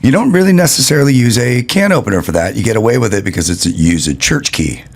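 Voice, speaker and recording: A man's voice: medium pitch, lively intonation, quick pace, breathy edge. A man chatting casually as a show's sidekick, explaining things in a matter-of-fact way. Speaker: show sidekick.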